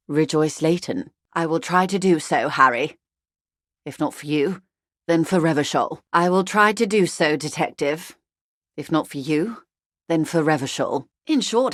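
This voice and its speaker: Wealthy, weary corporate negotiator, video game role: fairly low female voice, eloquent and measured, very dry studio sound. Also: no echo. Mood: resolute, solemn. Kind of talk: literary video game dialogue acting.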